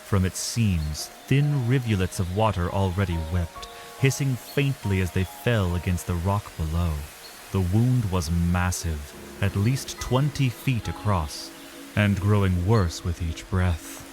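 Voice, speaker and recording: A man delivering dramatic, measured literary narration as the storyteller of a scripted fantasy tale. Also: low-pitched, expressive. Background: music.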